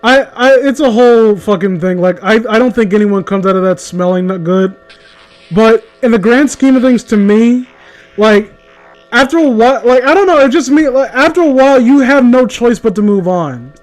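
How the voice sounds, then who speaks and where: A man's voice: extremely high pitch, normal speed, darkish tone, low-quality recording. A man chatting casually in a blunt, opinionated way with plenty of swearing. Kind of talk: casual chat.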